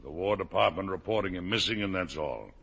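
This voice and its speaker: Husky, worried American father. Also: deep voice, expressive, brisk, a bit bright, full character voice. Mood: matter-of-fact, resigned. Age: middle-aged. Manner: folksy, colloquial, emphatic. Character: worried, pleading working-class American father. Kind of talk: 1950s radio drama acting. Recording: old 1950s radio broadcast.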